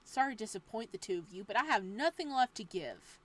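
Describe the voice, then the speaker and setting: A woman's voice: medium pitch, lively intonation, fairly quick, lightly acted. A woman voicing a cranky, paranoid middle-aged aunt who snaps and sneers at her relative in a game. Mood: scornful. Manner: snappish, scornful.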